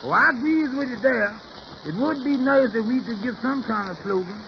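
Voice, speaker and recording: Through a dull, noisy old radio recording comes a simple, folksy taxi company partner. His voice is extremely high, and he is eager to agree in classic radio comedy style. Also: animated, normal speed, creaky, exaggerated voice. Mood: eager, agreeable. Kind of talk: 1930s radio comedy acting.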